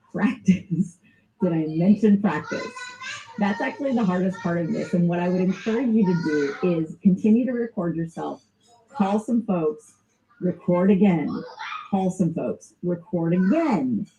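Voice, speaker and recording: Relaxed Southern woman teaching sales. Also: lowish voice, a little hiss or hum. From American South. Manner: casual, informal, laughs often. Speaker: sales trainer. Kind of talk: recorded training lesson. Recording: dull lo-fi recording.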